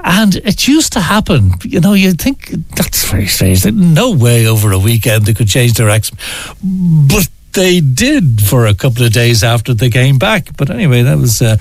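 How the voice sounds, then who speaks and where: A man's voice: mid-range voice, big pitch swings, normal speed, dry recording. A man with a Dublin Irish accent, presenting a morning radio show in a conversational style. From north side of Dublin, Ireland.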